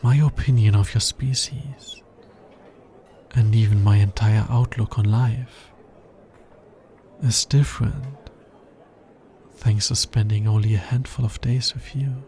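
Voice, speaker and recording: A man playing a tender, earnest alien scientist in an intimate ASMR romance roleplay, soft-spoken and measured. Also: lowish voice, steady tone, slight background noise. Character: smitten male alien scientist, earnest, tender, fascinated by humans.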